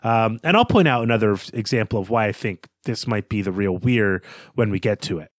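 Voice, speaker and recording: A man's voice: fairly low pitch, lively intonation, brisk, no room sound, clean studio sound. A man co-hosting a podcast, talking through his analysis of a story in an explanatory way. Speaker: podcast co-host.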